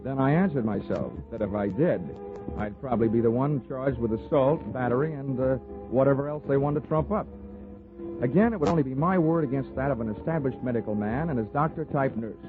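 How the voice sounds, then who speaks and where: Male actor as hard-boiled investigator, wry, pushy, mid-pitched, 1940s radio drama, narrow old-broadcast sound. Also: brisk, natural voice. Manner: wry, tough-talking, pushy. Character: wisecracking, hard-boiled male insurance investigator, lone private eye. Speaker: lead actor and narrator. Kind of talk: 1940s radio detective acting and first-person narration. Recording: tinny 1940s radio recording with music.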